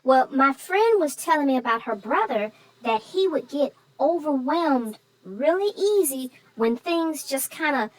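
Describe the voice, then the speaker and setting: Woman voicing a high-pitched, sassy kid sidekick. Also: lively intonation, a little slow, few pauses, low-quality recording. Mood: curious, thoughtful.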